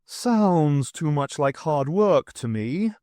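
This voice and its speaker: Guy doing a talking cat for an audiobook, male, super lazy drawl, unbothered, pitched up a bit. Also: animated, takes it slowly, a little mellow, no room sound, studio quality.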